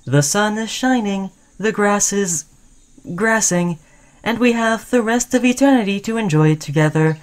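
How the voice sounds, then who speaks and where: A woman's voice: mid-range voice, a little slow, natural voice. A woman voicing a friendly, caring, obsessively devoted sentient robot in a chatty, soothing audio roleplay. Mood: breezy, cheerful. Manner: chatty, soothing, addressing listener as human friend. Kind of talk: scripted ASMR roleplay addressed to the listener.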